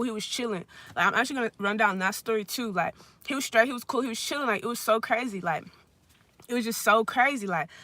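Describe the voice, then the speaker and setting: Twenties, storytime vlogger, rambling, "like" fillers, profane, forceful bright tone. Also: mid-range voice, dry recording. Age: 20s. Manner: rambling, heavy use of "like" fillers; blunt and profane. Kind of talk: casual storytime rant. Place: friend's house.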